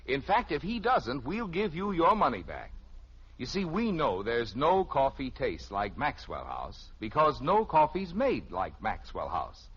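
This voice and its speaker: Husky, swooping old-time radio pitchman. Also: wildly swooping pitch, a little slow, fairly bright tone. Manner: folksy, emphatic salesmanship. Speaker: radio commercial announcer. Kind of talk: 1950s radio advertising pitch. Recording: muffled old radio recording.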